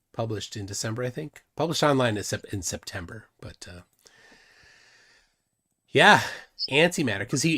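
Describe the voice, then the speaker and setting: A man's voice: on the low side, fairly bright tone. A man hosting a casual livestream, reading out viewer comments and laughing often. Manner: chatty, reads chat comments aloud, laughs often. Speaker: livestream host.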